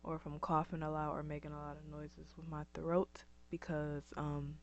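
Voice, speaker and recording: A woman's voice: low voice, monotone, normal speed. A young woman from upstate New York talking to camera in a casual vlog, her voice scratchy and dry, rambling with many ums and likes. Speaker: vlogger. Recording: dull lo-fi recording.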